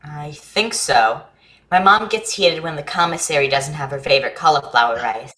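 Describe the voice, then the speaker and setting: A woman's voice: low-pitched, moderate pace, fairly bright tone. A woman playing an earnest, literal-minded schoolchild in a staged play, speaking plainly and inquisitively. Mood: literal, puzzled. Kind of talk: staged reading of a science fiction play.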